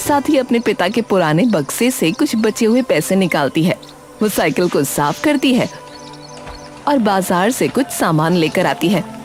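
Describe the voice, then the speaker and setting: A woman's voice: medium pitch, sing-song, measured pace, soft background music. A woman narrating a scripted cartoon story.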